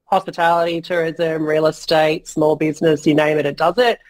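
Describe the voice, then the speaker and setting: Frank, rambling man, slightly hoarse. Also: on the high side, level tone, brisk. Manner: frank, rambling, many fillers, mild swearing. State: voice going hoarse. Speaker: guest entrepreneur. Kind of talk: casual interview chat.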